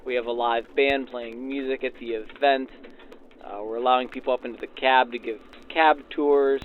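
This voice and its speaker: A man's voice: mid-range voice, fairly even intonation, moderate pace. A man giving informal, explanatory interview answers as a supervisor, through a vintage radio filter. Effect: backdated 1940s radio filter. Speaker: railroad passenger services supervisor being interviewed. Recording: tinny radio sound with faint noise.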